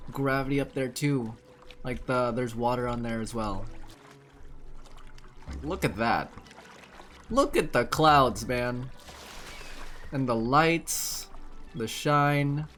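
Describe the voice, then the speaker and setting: Casual male gamer, mid-pitched, playful. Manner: expressive, playful reactions. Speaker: gaming streamer. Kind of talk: casual playthrough commentary. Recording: game music behind commentary.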